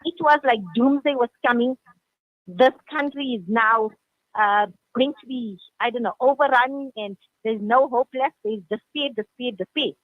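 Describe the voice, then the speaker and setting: A woman's voice: fairly high pitch, moderate pace, no room sound. A woman radio presenter telling a story in live discussion, speaking emphatically and repeating key phrases. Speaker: senior radio presenter, studio guest. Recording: narrow phone-like sound.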